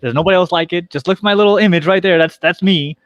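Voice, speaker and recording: Higher-voiced man, dry close sound. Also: quick pace, fairly bright tone, no room sound, lo-fi sound. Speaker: guest, radio show co-host. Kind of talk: casual conversational chat.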